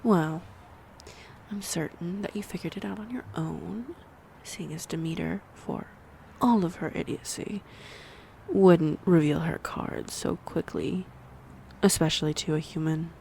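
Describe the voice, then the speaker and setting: A woman playing a snide, predatory vampire in an audio roleplay, mocking and teasing, with a breathy voice that slips into whispers. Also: on the low side, unhurried, warm tone, slight background noise. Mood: scornful, mocking. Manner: mocking, teasing, theatrically menacing. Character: snide, predatory female vampire toying with prey. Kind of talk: audio roleplay acting addressed to the listener.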